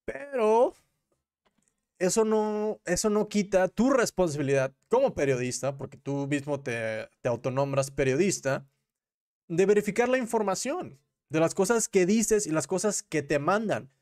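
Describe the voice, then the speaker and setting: A young man with a Mexican accent and a fairly high-pitched voice. He talks like a livestream commentator, informal and full of slang. His sentences ramble and double back as he corrects himself, spoken close into a desk microphone in a small room at home. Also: expressive, unhurried, a little mellow. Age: young adult. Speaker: commentary streamer and host. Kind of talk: casual livestream commentary on news and gossip. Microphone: close desk microphone on a boom arm.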